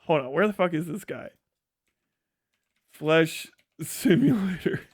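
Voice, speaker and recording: Male American streamer, higher register, dry sound; sweary, opinionated, off-the-cuff commentary. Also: animated, slow pace, husky, no room sound. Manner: blunt, opinionated, sweary ranting. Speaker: livestream host. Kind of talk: casual, profane livestream commentary.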